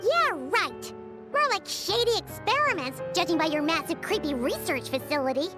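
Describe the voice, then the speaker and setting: Game sidekick: squeaky little fairy girl, cheeky, excitable, extremely high and animated, music underneath. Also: normal speed. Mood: indignant. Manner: squeaky, speaks of herself in third person. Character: tiny childlike female fairy companion, cheeky, excitable. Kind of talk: cartoonish scripted game dialogue. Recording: music behind the voice.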